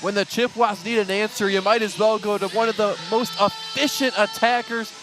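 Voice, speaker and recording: A man's voice: high voice, fairly even intonation, brisk, over music. A man giving color commentary on live sports, with the venue audible behind him.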